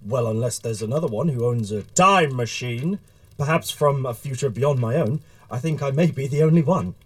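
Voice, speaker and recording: A man playing an earnest, wordy gentleman time traveler in an audio drama, with formal period speech and a rambling manner. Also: medium pitch, normal speed, natural voice. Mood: eager, chatty. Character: eager, flustered gentleman author and time traveler.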